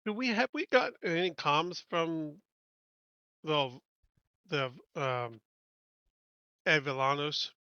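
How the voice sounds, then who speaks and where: Male tabletop player, bright forceful tone, slow hesitant delivery, dull dry audio. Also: on the high side, clean tone, no room sound. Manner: hesitant, searching for words. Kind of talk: casual game chat.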